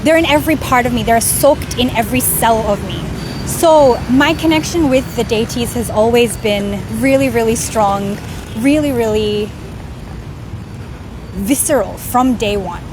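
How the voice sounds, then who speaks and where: A young woman, raised in Australia and born in India, telling her spiritual story in an earnest, animated vlog, filmed outdoors on a street. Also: on the high side, unhurried, background noise. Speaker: vlogger. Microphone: camera filming herself. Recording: music clearly under the voice. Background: music.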